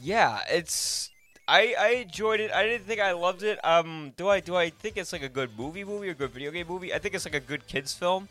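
A man's voice: sits high, brisk, pushed and bright. A man hosting a podcast, talking through a casual film review in a rambling way with lots of filler words. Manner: rambling, filler-heavy with frequent "like". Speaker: podcast host.

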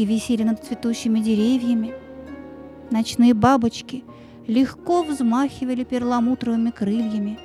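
A woman's voice: a little high, warm tone. A woman narrator telling a fairy tale expressively in an audio play.

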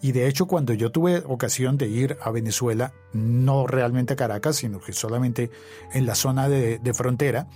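A man's voice: mid-range voice, normal speed, warm tone, soft background music. A man with a Bogotá Colombian accent hosting a conversational podcast interview, telling things in a reflective, storytelling way. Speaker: podcast host.

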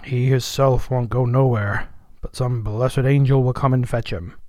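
A man's voice: medium pitch, steady tone, measured pace, a little mellow, no echo, clean studio sound, close to an everyday voice. Man voicing a sullen, grumbling ninety-year-old ship's cook. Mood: muttering. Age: 90s. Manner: folksy dialect, grumbling and muttering. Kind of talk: audiobook character voice.

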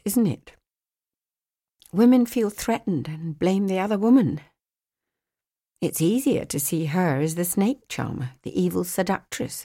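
A woman's voice: lowish voice, dark tone. Woman narrator as tired young mother, frank older sister, reasoning, audiobook dialogue.

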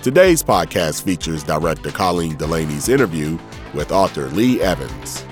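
A man's voice: very deep voice, sing-song, normal speed. A man reading a scripted introduction as a podcast announcer. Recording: music under the voice.

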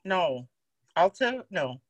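A woman with a deeper voice co-hosting a podcast, telling stories about herself in a self-deprecating way. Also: big pitch swings, slow pace, no room sound. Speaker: podcast co-host. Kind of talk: casual chat.

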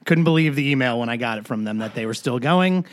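A man chatting as a podcast co-host in an average-pitched voice. He slips in dry wisecracks between stories. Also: animated, quick pace. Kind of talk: casual banter.

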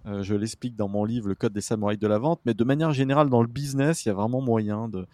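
A man's voice: on the low side, quick pace, dark tone, no echo. A man hosting a business podcast with an informal, conversational manner. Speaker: podcast host. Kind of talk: scripted intro and conversational interview.

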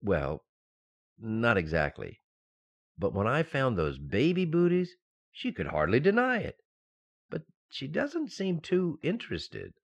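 An actor playing a flustered, easily shocked husband and father-to-be in a radio sitcom, excitable and dithering. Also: medium pitch, a little slow, breathy edge, exaggerated voice. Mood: fretful. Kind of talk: old-time radio sitcom acting.

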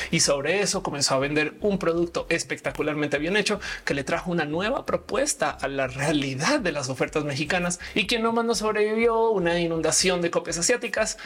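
Colombian-born woman hosting her channel, kinda husky breathy voice, chatty and talking right at the viewers. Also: deep voice, fairly bright tone. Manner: chatty, addresses viewers directly. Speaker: channel host. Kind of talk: informal explainer video.

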